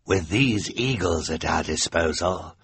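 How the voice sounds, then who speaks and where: A man voicing a hoarse, sinister henchman in a storybook reading. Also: low voice, animated, unhurried, fairly bright tone, heavily acted.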